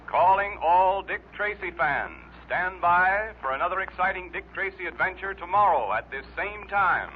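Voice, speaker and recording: High-pitched man calling over tinny radio. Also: lively intonation, normal speed, hardly any pauses. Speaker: sign-off announcer. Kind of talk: 1930s radio dispatcher-style announcing. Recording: tinny old radio, faint noise.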